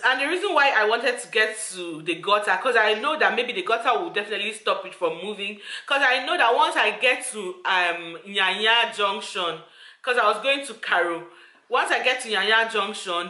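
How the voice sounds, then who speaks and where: Bright-voiced woman vlogger. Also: medium pitch, lively intonation, normal speed, reverberant. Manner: earnest, repetitive, addresses viewers directly. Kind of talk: personal storytime to camera.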